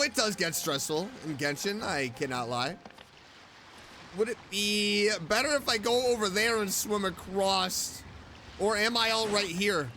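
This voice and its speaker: Male game streamer, slow-paced, very animated intonation, chatty, excitable, casual commentary, music in the background. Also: high-pitched. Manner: excitable, chatty, talks to self and game character. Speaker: streamer, video game let's player.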